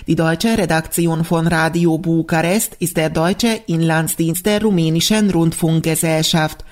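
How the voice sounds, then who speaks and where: A woman radio presenter with a low voice reads out scripted announcements. Her delivery is formal and measured. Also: a little mellow.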